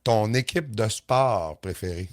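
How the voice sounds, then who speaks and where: A man's voice: on the low side, slow. A man from Montreal with a Quebec French accent, hosting a podcast in casual interview chat. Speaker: podcast host.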